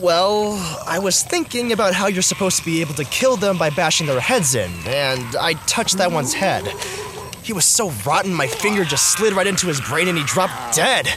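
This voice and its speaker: A man playing an excitable, wisecracking, squeamish deputy in a comedic zombie audio drama. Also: high voice, moderate pace. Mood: amazed, squeamish. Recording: loud music behind the voice.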